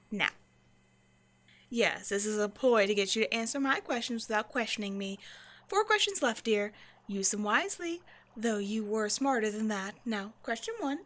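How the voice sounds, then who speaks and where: A woman's voice: fairly high pitch, a bit bright. A woman voicing a haughty vampire scientist in an audio roleplay, with a playful, condescending, mock-sweet delivery. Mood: smug, indulgent. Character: female vampire scientist, teasing, haughty captor. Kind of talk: scripted ASMR roleplay monologue addressed to the listener.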